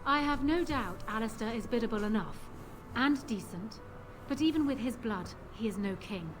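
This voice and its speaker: A woman's voice: a little high, expressive, moderate pace, close to an everyday voice. A woman playing a proud, calculating young queen in a fantasy video game, speaking formally and with assertive composure. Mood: proud, commanding. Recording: game audio with clear background noise.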